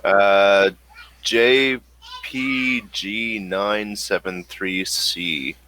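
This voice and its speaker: Low, unhurried male voice with a bright, forward edge to it. He narrates a sci-fi tabletop session as game master, often stopping mid-sentence to hunt through his notes. Each answer comes out measured and deliberate. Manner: slow, hesitant, searching his notes aloud.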